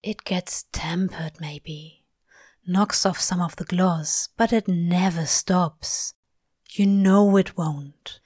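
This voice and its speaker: Lady doing a cynical soft-hearted demon guy, slow breathy muttering, audiobook style, real dry sound. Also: lowish voice, dry recording. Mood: tender, sorrowful.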